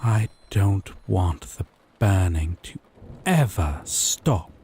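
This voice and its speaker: Gentle yandere boyfriend, acted by a man: low, very slow, intimate, possessive, rain behind. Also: animated, a little hiss or hum, full character voice. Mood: pleading, yearning. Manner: slow, halting, tender, breathy pauses. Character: gentle, obsessive, possessive yandere lover from a dating sim. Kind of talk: intimate romantic audio roleplay. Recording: rain and thunder ambience behind. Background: rain, thunderstorm.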